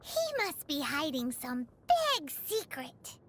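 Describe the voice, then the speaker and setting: A woman voicing a tiny, cheeky, childlike fairy companion in a video game, with a squeaky, emphatic delivery. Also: huge pitch swings, slow, a bit bright, heavily acted. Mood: cheeky, gossipy. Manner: refers to self in third person, emphatic. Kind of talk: video game character dialogue. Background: music.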